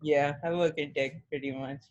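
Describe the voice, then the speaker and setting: A man's voice: on the high side, steady tone, normal speed, lo-fi sound. A man from India taking part in an online workshop over a video call, hesitant with fillers. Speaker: workshop participant.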